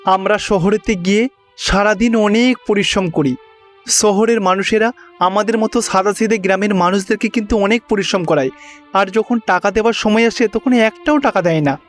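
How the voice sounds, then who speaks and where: A man acts a poor, hardworking villager in an animated folk tale. His voice is high for a man, earnest and full of complaint, over faint music. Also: moderate pace, lightly acted. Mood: aggrieved. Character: aggrieved, hardworking poor male villager pleading to his chief. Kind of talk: cartoon story dialogue acting. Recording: music behind the voice.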